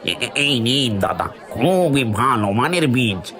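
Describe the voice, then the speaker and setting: A man playing a firm cartoon shopkeeper selling seed. He talks very slowly at a medium pitch, with faint crowd sound behind. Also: sing-song, pushed and bright, full character voice. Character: firm, unhurried village seed seller.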